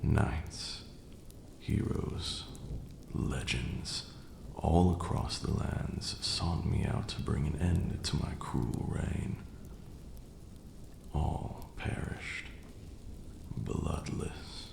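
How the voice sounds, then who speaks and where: Man voicing a gravelly, abyssally deep vampire lord. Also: echoey room, background noise, exaggerated voice. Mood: menacing, dark. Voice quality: gravelly, breathy murmur. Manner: theatrical, deliberate, brooding. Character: ancient brooding male vampire lord, cruel yet grieving. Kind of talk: slow dramatic ASMR roleplay monologue.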